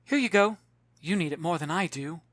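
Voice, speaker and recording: A man's voice: fairly high pitch, lively intonation, moderate pace, a bit bright, no room sound. A man voicing a clever, kind young girl in an audiobook, speaking in a wry, familiar way. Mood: kindly. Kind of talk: audiobook character voice.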